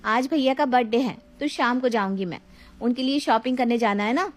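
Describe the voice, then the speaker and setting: A woman's voice: a little high, fairly quick, close to an everyday voice. A woman voicing a headstrong, demanding young married woman in a dramatized story, with a whiny, insistent delivery. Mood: insistent, indignant. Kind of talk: dramatized moral story voice acting.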